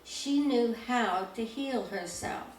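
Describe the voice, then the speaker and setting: A woman's voice: fairly high pitch, slow. A woman on a discussion panel, telling anecdotes in a reflective way. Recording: echoey room, distant mic, faint noise.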